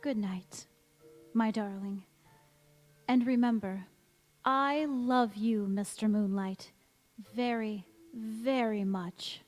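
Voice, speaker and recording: A woman's voice: a little high, takes it slowly, long pauses. A woman acting in a stage radio play as an anguished, devoted young wife who is cursed never to age, speaking in an earnest, melodramatic way. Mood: tender, sad. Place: large reverberant church or hall. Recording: echoey hall, music behind.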